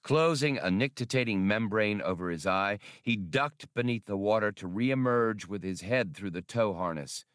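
A man's voice: fairly low pitch, moderate pace, few pauses, a bit bright, dry recording, studio quality. A man reading an adventure story as an audiobook narrator, in a scripted, dramatic style.

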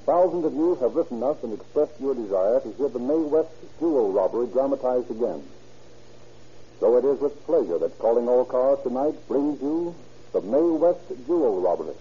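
A man with an American accent delivering formal, emphatic scripted announcements and sponsor pitches as a 1930s radio host. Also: breathy edge. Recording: muffled old 1930s radio broadcast.

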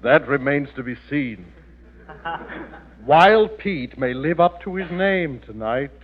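Pompous gloomy uncle, muffled. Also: male voice, on the high side, expressive, slow. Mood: gloomy, grandiose. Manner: pompous, florid diction. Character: pompous, cowardly freeloading uncle, gloomy and theatrical. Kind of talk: 1940s radio sitcom acting. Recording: muffled old radio recording.

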